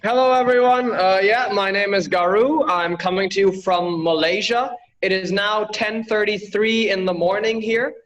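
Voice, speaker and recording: A high-voiced man performs stand-up from afar over a video call. He delivers his jokes in a dry, deadpan way. He grew up in Bangladesh. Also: normal speed, hardly any pauses, highs cut off. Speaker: stand-up comedian.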